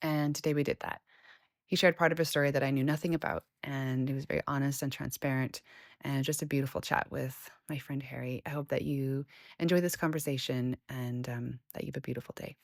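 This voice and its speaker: A woman's voice: a bass voice, steady tone, a little fast, vocal fry, no echo, clean studio sound. A woman hosting a podcast over a video call, effusive and gushing, laughing often. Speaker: podcast host. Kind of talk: warm conversational interview.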